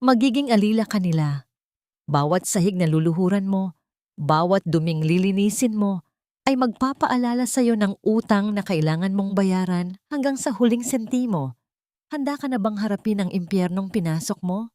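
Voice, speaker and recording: A woman voicing a cruel, haughty matriarch, speaking in a menacing, commanding and measured way in an audio drama. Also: mid-range voice, expressive, warm tone, dry recording, clean studio sound, lightly acted. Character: cruel, haughty matriarch passing a harsh sentence.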